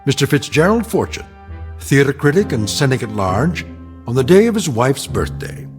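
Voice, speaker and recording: An actor playing a wry, ominous narrator who introduces an eerie radio drama in a measured, portentous way. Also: male voice, mid-range voice, sing-song, close to an everyday voice. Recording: music behind the narration.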